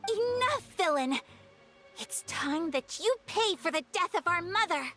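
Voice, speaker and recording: An actress playing a proud, fiery young musketeer heroine in a video game, with emphatic, righteous, dramatic delivery. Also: female voice, very high voice, very animated, unhurried, a bit bright, faint music behind, exaggerated voice. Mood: righteous anger. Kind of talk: animated video game acting.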